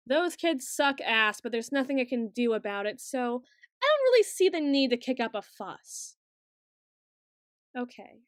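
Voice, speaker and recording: A woman's voice: high-pitched, dry recording, studio quality. A woman playing an awkward, bookish, secretly obsessive student in an ASMR roleplay, speaking in stilted, over-formal phrases with some hesitation.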